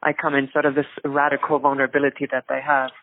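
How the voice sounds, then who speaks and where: Even-toned Icelandic woman, dry narrow recording. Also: rumbling low, quick pace, no room sound. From rural Iceland. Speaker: interview guest, activist and filmmaker. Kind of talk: reflective interview talk.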